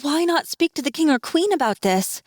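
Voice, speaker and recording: A woman's voice: high voice, animated, moderate pace, no room sound, clean studio sound, voice pushed up. A woman voicing a loyal, resolute young human girl in a fantasy audiobook, speaking in a firm, sincere manner. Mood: outraged concern.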